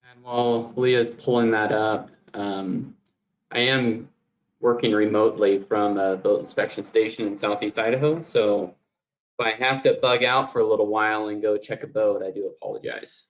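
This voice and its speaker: A man calling into a meeting from a boat inspection station, giving a relaxed verbal update. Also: lowish voice, moderate pace. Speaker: state program staff. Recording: dull lo-fi call audio.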